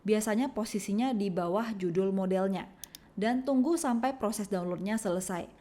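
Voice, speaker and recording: A woman presenting a scripted software tutorial in an instructional, step-by-step manner. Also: mid-range voice, moderate pace.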